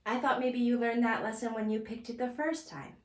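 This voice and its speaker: A woman storyteller leading an interactive puppet story for children in a gently instructive way, using simple phrases. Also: a little high, fairly even intonation, brisk. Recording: echoey room.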